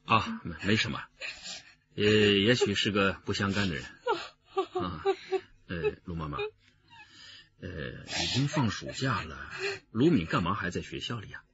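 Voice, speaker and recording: A man acting in a radio drama as a thoughtful, reserved detective who speaks with deliberate hesitation. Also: on the low side, wildly swooping pitch, a bit bright, dull audio, full character voice. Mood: hesitant, careful. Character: thoughtful, reserved male detective pondering a case.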